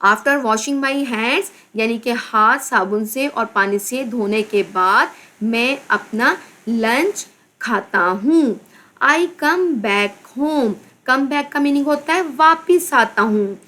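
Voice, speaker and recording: A woman teacher from Pakistan with a Pakistani accent, slowly reading a children's English textbook aloud and explaining each word. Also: on the high side, expressive, bright, forceful tone. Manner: addresses pupils as "beta". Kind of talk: slow textbook lesson with translation.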